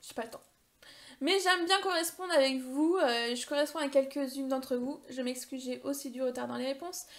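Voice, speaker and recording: A woman's voice: sits high, steady tone, normal speed. A woman in her thirties answering viewer questions in a casual vlog, chatty and rambling, with many hesitations and self-mocking humor. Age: 30s. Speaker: beauty YouTuber.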